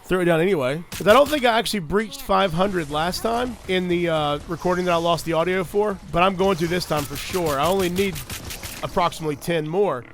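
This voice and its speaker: A man's voice: high-pitched, fairly quick. A young man, getting over a cold, gives a chatty, earnest voice-over about his gameplay as a gaming creator. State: recovering from a cold. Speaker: gaming YouTuber. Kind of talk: casual gameplay commentary and loadout guide.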